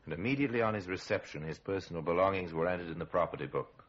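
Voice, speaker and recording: A grave storyteller from a vintage radio crime series. The voice is very deep and rough-edged, measured and drily ironic, on a muffled old recording. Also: male voice. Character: grave male narrator of a true-crime series, sardonic. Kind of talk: 1950s radio crime drama narration, dramatic.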